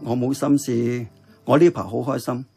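An actor playing a kindly, contented older uncle in a Hong Kong Cantonese radio drama, chatty and reassuring. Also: male voice, mid-range voice, level tone, moderate pace, vocal fry, dark tone, faint music behind, lightly acted. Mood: fond, reassuring.

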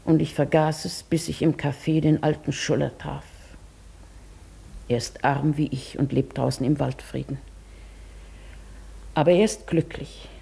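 An older woman acting a poor, proud and wistful old widow in a German radio drama, speaking formally and with measured care. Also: low-pitched, warm tone, exaggerated voice. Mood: ashamed, resigned. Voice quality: husky, creaky.

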